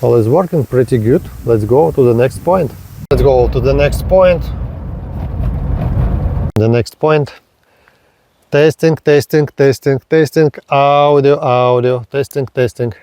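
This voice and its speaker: A man narrating a hobby field test vlog step by step in halting, short, repetitive phrases. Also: mid-range voice, warm tone, a little hiss or hum. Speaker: radio hobbyist presenting a range test.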